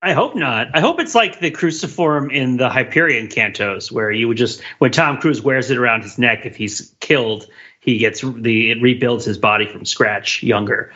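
A man's voice: medium pitch, moderate pace, sharp tone, highs cut off. A man taking part in a casual film discussion as a podcast panelist.

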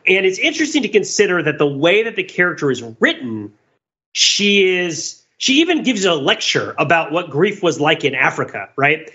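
Higher-voiced man in rambling, emphatic discussion. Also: very animated, normal speed, sharp tone, muffled recording. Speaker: podcast host. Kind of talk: casual analytical podcast discussion.

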